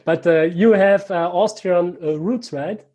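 A man's voice: sits high, a little slow, dark tone. A man hosting a podcast in English with a German accent, friendly and a bit hesitant, laughing easily. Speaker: podcast host. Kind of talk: casual interview hosting.

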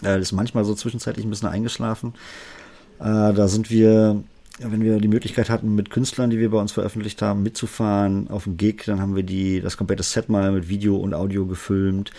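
A man's voice: fairly low pitch, level tone, brisk, mellow. A man with a Berlin accent, a music producer chatting casually in an interview, rambling with many fillers.

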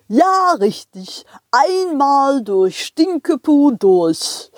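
A woman voicing a good-natured, fussy male train driver in a children's audio play, with a drawn-out, folksy way of talking. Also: high-pitched, big pitch swings, no echo, clean studio sound, pitched above the performer's own voice, exaggerated voice. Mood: jovial, explaining. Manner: drawn-out, chuckling, ends questions with "hä".

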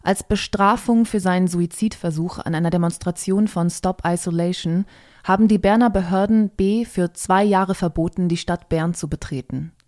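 A woman's voice: on the low side, moderate pace, a little mellow, no echo, clean studio sound. A woman reading a scripted news report aloud as a presenter.

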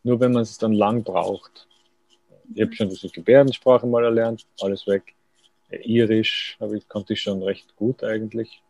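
Guy in a radio interview, low gravelly voice, thinks out loud, pokes fun at himself. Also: steady tone, a little slow, dry recording. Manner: musing, thoughtful, self-deprecating. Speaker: writer as interview guest.

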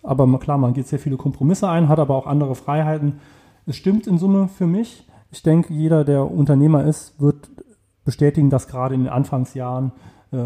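Dark, gravelly man with many pauses. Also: mid-range voice. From Saarland, Germany. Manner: hesitant, many fillers and restarts. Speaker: entrepreneur, interview guest. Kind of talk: casual interview answers.

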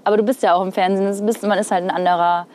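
A woman's voice: medium pitch, level tone, rapid-fire, darkish tone, a little hiss or hum. A young woman from northern Hesse, a TV weather presenter, chatting casually and thoughtfully in an outdoor interview, with many fillers. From northern Hesse, Germany.

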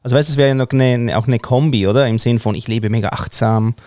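A man's voice: mid-range voice, fast talker, darkish tone, dry recording. Curious man interviewing, dry sound. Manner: curious, encouraging, lightly joking. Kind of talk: casual conversational interview.

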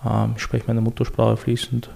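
Guest: Chechen-native man, raspy fry, level delivery, relaxed and hesitant. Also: mid-range voice, normal speed. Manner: laid-back, hesitant with fillers. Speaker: podcast guest. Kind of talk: casual interview chat.

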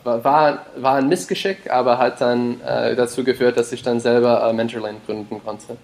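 A man's voice: mid-range voice, fairly even intonation, normal speed, vocal fry, darkish tone. Hesitant man. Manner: hesitant, many fillers and restarts, mixes in English words. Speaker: podcast guest, startup founder. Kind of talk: casual interview talk.

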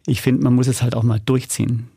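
A man with a noticeable creak in his mid-pitched voice answers as an interview guest. He tells things in a conversational, storytelling way, often quoting dialogue. The sound is dry and close. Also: flat delivery, quick pace, warm tone, no echo. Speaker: podcast guest, audio drama producer.

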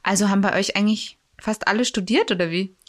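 A woman's voice: mid-range voice, fast, dry recording, studio quality. A woman hosting an interview podcast, asking friendly questions and joking now and then. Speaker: podcast host. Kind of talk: casual interview.

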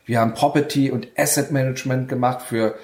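A man's voice: mid-range voice, steady tone, a little fast, darkish tone. A man telling his story as a podcast guest, fluent, with many fillers. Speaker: guest, real estate investor. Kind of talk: podcast interview. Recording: roomy echo.

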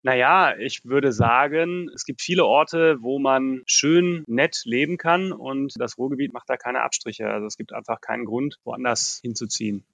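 A man from the Ruhr area, an engineer answering interview questions in a relaxed way with lots of fillers. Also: mid-range voice, moderate pace, vocal fry, few pauses, dry recording. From Ruhr area, Germany. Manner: relaxed, many filler phrases. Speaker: test lab engineer, guest.

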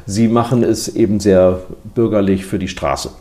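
An older man hosts the conversation in a somewhat low, warm voice. He asks his questions casually and jovially, adding quips along the way. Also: fairly even intonation, moderate pace. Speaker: interviewer, host.

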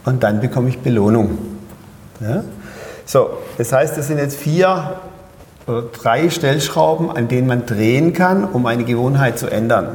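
A man's voice: medium pitch, expressive, normal speed, a little mellow, roomy sound, in a large hall. A man with a Swabian accent explaining step by step as an interview guest, tagging his points with "ja?". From Pforzheim, Germany. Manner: explains step by step with frequent "ja?" tags. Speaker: guest speaker and coach.

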